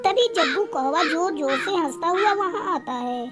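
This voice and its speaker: A woman's voice: extremely high pitch, lively intonation, normal speed, music in the background. A woman narrating a scripted children's story as a storyteller.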